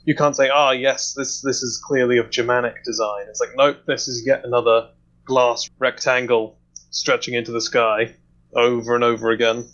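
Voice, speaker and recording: A man explaining his thoughts in a measured way in a casual discussion, recorded over a lo-fi voice chat. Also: medium pitch, level tone, fairly bright tone.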